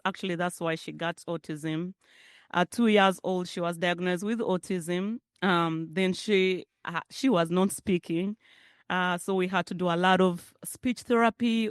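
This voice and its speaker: A woman's voice: on the low side, clear, resonant tone, dry recording, clean studio sound. A woman with a Ugandan accent giving a personal keynote speech at a public forum, chatty and laughing, with asides to the audience. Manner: conversational, laughs often, makes off-the-cuff asides to the audience. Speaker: keynote speaker.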